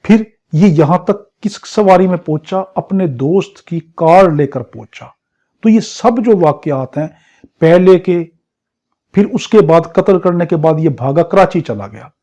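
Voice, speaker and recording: A man's voice: on the high side, warm tone. A man with a Pakistani accent lecturing as a law teacher in an exam crash course, drilling points by repetition and rhetorical questions. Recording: dull, lo-fi muffled audio.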